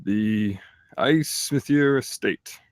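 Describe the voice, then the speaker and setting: A man's voice: fairly low pitch, drawn-out words, dry recording, clean studio sound. A man playing an earnest, nervous, uncertain foot soldier at a screenplay table read.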